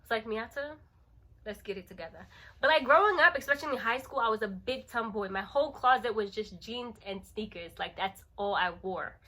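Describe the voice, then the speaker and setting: A woman of thirty with a bright, forceful, fairly high voice talks like a lifestyle vlogger. She is playful and animated, chatting casually and leaning on words and repeated phrases for emphasis. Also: a little fast, few pauses. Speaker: lifestyle vlogger and channel host. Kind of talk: casual chatty vlog to camera.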